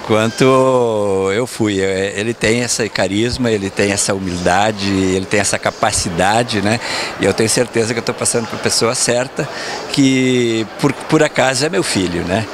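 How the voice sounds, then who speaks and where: Older man in his seventies, founder, halting speech, handheld mic on a noisy event stage. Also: fairly low pitch. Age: 70s. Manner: hesitant, repeats words, animated gestures. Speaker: company founder and outgoing president. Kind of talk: event interview. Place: event stage backdrop, indoors. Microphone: handheld mic held by interviewer.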